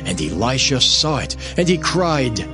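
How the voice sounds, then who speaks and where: Very expressive male scripture narrator. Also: mid-range voice, a little slow. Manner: solemn, storytelling. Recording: music behind the voice. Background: prominent background music.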